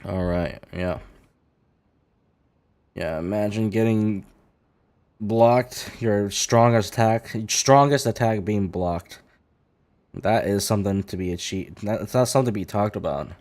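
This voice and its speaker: A man's voice: fairly low pitch, a little slow, pauses often, a little mellow. A man streaming a video game, giving casual, offhand and joking commentary. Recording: music behind the voice. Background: game music.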